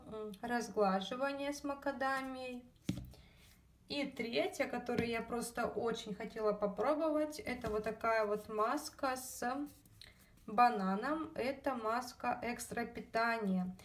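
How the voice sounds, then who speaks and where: Woman chatting thru a beauty haul, kinda high voice, a bit scratchy throat, phone mic a little far off. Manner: chatty, many fillers, addresses viewers as girls. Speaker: beauty vlogger. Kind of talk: casual haul video chat.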